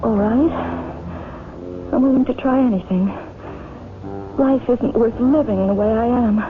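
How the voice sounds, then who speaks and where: An actress playing a troubled married woman haunted by guilt, speaking hesitantly and haltingly in old-time radio drama style. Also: a little high, over music, lightly acted. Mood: hesitant, despairing. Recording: noisy, tinny old radio drama recording.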